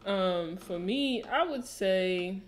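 A young woman with a naturally deep voice talks casually into a studio microphone in a small room. Her phrasing is relaxed and drawn out, with sudden emphatic rises when she makes a point. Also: slow pace, a little hiss or hum. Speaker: podcast co-host. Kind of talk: casual chat. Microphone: studio mic with headphones.

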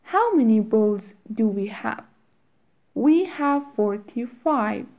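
A woman reading short English phrases aloud one at a time, with long pauses for learners to repeat. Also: on the high side, lively intonation, narrow, tinny audio. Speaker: English teacher. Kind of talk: slow read-aloud drill for learners.

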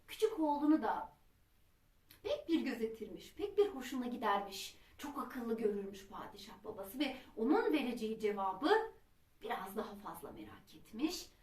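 A woman narrates a children's folk tale with dramatic, expressive phrasing. Her voice is fairly high. The recording is echoey, with her some way from the microphone. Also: normal speed. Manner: dramatic, with traditional storyteller phrasing. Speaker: storyteller. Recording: roomy echo, distant microphone.

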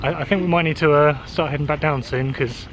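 A man's voice: on the high side, steady tone, brisk, slight background noise. A man in his thirties, vlogging casually into a handheld camera with dry, understated humour. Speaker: travel vlogger. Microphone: handheld camera at arm's length. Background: loud music.

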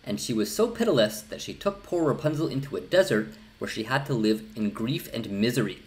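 A man's voice: mid-range voice, lively intonation, a little fast, darkish tone. A middle-aged man reading a fairy tale aloud as scripted storybook narration. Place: small indoor room.

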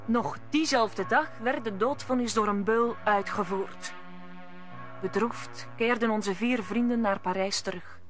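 A woman's voice: fairly high pitch, unhurried, soft background music. A woman narrator telling a scripted story in a radio play.

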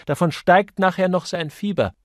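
A firm aristocratic lady voiced by a male reader in an audiobook. She sounds reproving and concerned, with lifted pitch and a dry sound. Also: normal speed, no echo, close to an everyday voice. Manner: chiding. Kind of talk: audiobook character dialogue.

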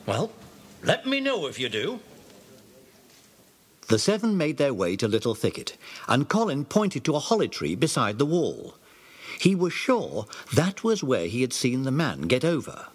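Husky male storybook narrator, very animated delivery. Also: medium pitch.

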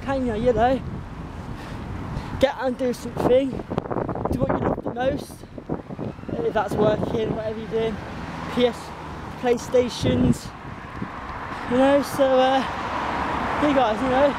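Young English man, high-pitched voice, casual rambling vlog chat, handheld camera outdoors, very heavy wind and traffic noise. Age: 20s. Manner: rambling, informal, often addressing viewers. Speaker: vlogger. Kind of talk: casual chat to viewers while cycling. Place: outdoors on suburban roads, riding a bicycle. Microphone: handheld camera at arm's length.

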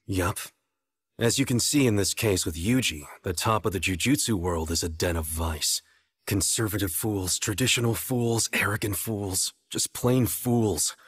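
A man's voice: lowish voice, unhurried, breathy edge, no echo, studio quality. A man voicing a cocky, playful young sorcerer teacher in an anime dub, with a breezy, teasing, theatrical manner. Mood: low, cynical. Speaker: voice actor in an English anime dub. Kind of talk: animated dub dialogue.

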